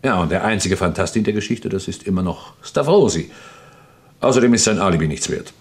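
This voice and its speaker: A man's voice: low voice, lively intonation, a little fast, husky. An actor playing an eager police inspector in a German radio crime play, arguing insistently point by point to his chief.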